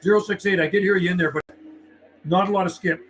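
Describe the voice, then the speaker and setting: A man's voice: sits high, steady tone, quick pace, fairly bright tone, soft background music. A man working as a CB radio operator on a livestream, mixing radio calls with laid-back, friendly, slangy chat. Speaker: CB radio operator and live streamer.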